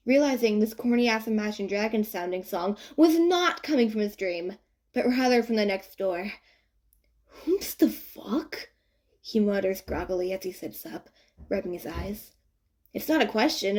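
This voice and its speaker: A woman narrating a written story in an expressive, informal and wry way. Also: mid-range voice. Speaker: fan fiction narrator.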